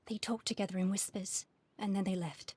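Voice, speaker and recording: A woman's voice: mid-range voice, steady tone, a little fast, a little mellow, slightly rough, no room sound, close to an everyday voice. An actress playing a polite, genteel Victorian lady in a video game, speaking in a refined manner. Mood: recollecting.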